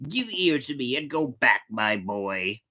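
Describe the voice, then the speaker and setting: A woman acts out a tiny insect ghost from a storybook. The voice sits low and hushed, solemnly handing out fatherly warnings. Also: big pitch swings, unhurried, heavily acted. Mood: grave, urging. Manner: grave, admonishing.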